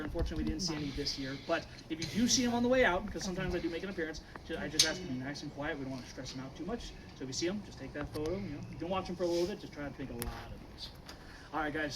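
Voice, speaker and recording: A man guiding a group outdoors speaks in a loud, projecting, chatty voice, somewhat high-pitched and rattling along quickly. His voice is caught on a visitor's camera among the group, with steady outdoor noise behind him. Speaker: wildlife tour guide. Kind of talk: guided tour talk to visitors. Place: outdoors at animal enclosures. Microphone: visitor's camera from among the tour group.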